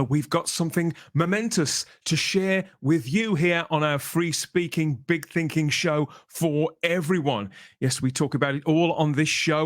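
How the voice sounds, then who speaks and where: An English man hosts a podcast in a fairly high-pitched voice. His delivery is upbeat and chatty, and the sound is close and dry, with very little room on it. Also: no echo. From Birmingham, England. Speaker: podcast host. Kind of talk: upbeat podcast presenting and chat.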